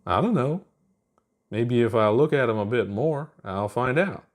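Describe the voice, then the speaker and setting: A man's voice: medium pitch, lively intonation, a little slow, lightly acted. A man voicing a mild, earnest young alien astronaut in a plain-spoken, unbothered way for a story. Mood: gently curious.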